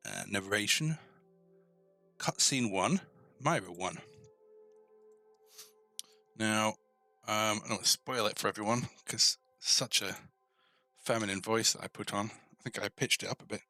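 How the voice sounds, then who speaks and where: A man hosting a casual live coding tutorial, thinking aloud informally and tentatively, often pausing mid-thought as he works. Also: on the low side, bright, forceful tone, no room sound. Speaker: host of a coding tutorial stream.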